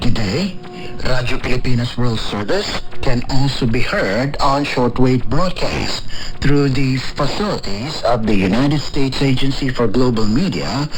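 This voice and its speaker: A man's voice: mid-range voice, lively intonation, a little slow, noisy background. A man presenting a scripted news programme on shortwave radio. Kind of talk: scripted news broadcast announcement. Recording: shortwave radio broadcast aircheck. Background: faint music.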